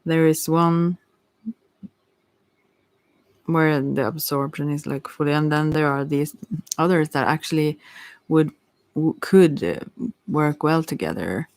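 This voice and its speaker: A non-native English speaker giving a casual, unscripted tarot reading in a hesitant, halting way, searching for words. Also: mid-range voice, no room sound, poor audio. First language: not English. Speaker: tarot reader.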